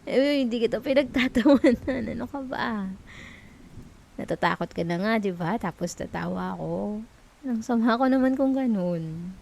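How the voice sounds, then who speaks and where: A woman with a Filipino accent playing a sweet, teasing, comforting girlfriend in a soft, intimate ASMR roleplay. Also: fairly high pitch, lively intonation, moderate pace, gravelly, a little hiss or hum. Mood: tender, reassuring. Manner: soft, tender, playful, soothing.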